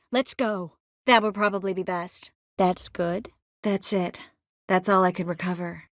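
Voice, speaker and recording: A woman's voice: medium pitch, fairly bright tone, no room sound, clean studio sound, close to an everyday voice. A woman voicing a composed, caring young sorceress in a video game, earnest with a wry edge. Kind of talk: game dialogue acting.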